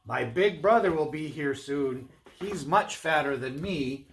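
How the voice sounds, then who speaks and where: A man's voice: on the high side, expressive, measured pace. A man voicing a tiny, skinny, timid young billy goat for a storybook, pleading and reasoning in a very small voice. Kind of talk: storybook read-aloud. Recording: clear background noise.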